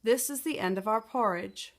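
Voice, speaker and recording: A woman voicing a poor, worried mother in a storybook, gently cautioning and resigned. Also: medium pitch, moderate pace, lightly acted.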